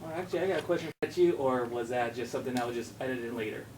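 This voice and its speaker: A man's voice: medium pitch, very fast. A man asking casual questions as an interviewer at a press junket. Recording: echoey room, distant mic, faint noise.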